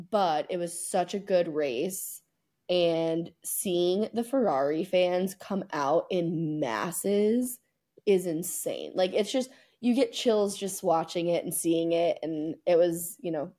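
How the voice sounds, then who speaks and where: Woman, podcast co-host, soft dark tone, slightly low pitch, casual sports talk, informal and excitable. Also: slow.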